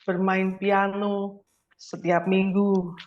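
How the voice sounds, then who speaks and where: A woman's voice: medium pitch, little pitch movement, very slow, a little mellow. An Indonesian woman from Surabaya chatting casually as an interview guest. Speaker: interview guest, piano and English teacher. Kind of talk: casual chat. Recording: muffled lo-fi audio, light noise, clicks.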